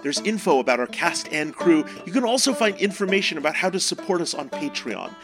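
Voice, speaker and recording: A man's voice: a little high, very animated, fairly quick. A man reading scripted sponsor messages and show announcements as a podcast host. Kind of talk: scripted promotional read. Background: music.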